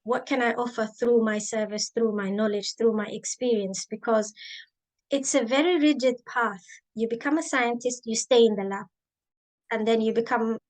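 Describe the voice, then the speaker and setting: Thoughtful woman with a slightly high voice. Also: normal speed. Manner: thoughtful, many ums and restarts. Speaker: guest, biomedical scientist and author. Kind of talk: interview, reflective personal story.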